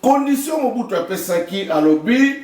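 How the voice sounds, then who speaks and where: High-voiced Congolese man explaining politics. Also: expressive, moderate pace, a little mellow, roomy sound, distant mic. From Congo (DRC). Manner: explanatory, uses proverbs, addresses listener as maman. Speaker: political commentator. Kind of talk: political talk show commentary.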